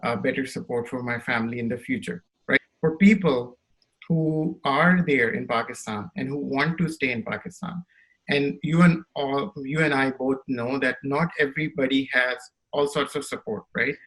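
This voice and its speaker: A man's voice: mid-range voice, normal speed, a bit bright, low-quality recording. A man who grew up in Saudi Arabia, hosting a podcast and laying out long, thoughtful questions, often checking in with the guest. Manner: thoughtful, builds questions at length, checks in with "right?". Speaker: podcast host. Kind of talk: interview questions.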